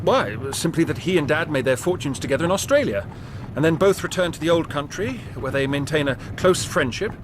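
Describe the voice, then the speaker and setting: A man portrays a distraught young English gentleman in a radio play. His upper-class British voice sits high and sounds agitated and well-bred. There is noticeable noise behind him. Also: animated, a little fast, close to an everyday voice. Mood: troubled. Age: young adult. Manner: agitated, hesitant, well-spoken. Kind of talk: radio drama acting.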